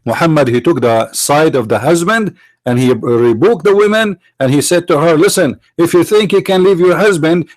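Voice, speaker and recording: A man whose first language is Arabic, from the Middle East, arguing in a sarcastic, mocking tone and slowing down to stress quoted phrases. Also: a little high, no room sound, low-quality recording. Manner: sarcastic, mocking rhetorical questions. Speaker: apologist speaker. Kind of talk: argumentative lecture.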